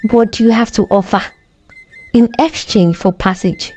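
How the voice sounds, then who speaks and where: A woman's voice: mid-range voice, moderate pace, darkish tone, close to an everyday voice. A woman voicing an elderly female spirit who guards a forest portal, with formal questioning. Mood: solemn challenge.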